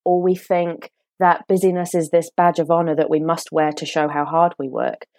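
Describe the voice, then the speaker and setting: A woman's voice: sits low, steady tone, darkish tone, no echo. British woman speaking in a dry recording. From United Kingdom. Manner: measured, reflective explaining. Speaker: guest, author and coach. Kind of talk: podcast interview.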